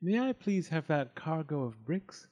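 A man's voice: a little high, sing-song, unhurried, mellow, lo-fi sound, pitched above the performer's own voice. A man voicing a sensible little female storybook pig, polite and firm in manner.

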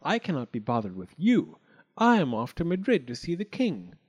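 A man as naughty little chick, high-pitched. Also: wildly swooping pitch, moderate pace, breathy edge, muffled recording, full character voice. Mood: dismissive. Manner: dismissive, cocky. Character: naughty, self-important little half chick, young male. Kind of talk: storybook character voice.

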